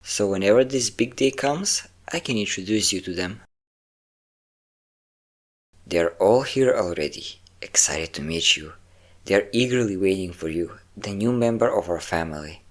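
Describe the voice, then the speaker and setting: A man's voice: sits low, measured pace. A man playing a centuries-old, possessive and doting vampire captor in an intimate audio roleplay, coaxing and teasing his listener.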